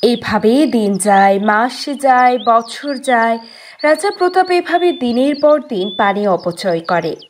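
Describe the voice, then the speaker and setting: A woman's voice: on the high side, lively intonation, takes it slowly, slight background noise. A woman narrating a scripted fairy tale for a cartoon. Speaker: story narrator.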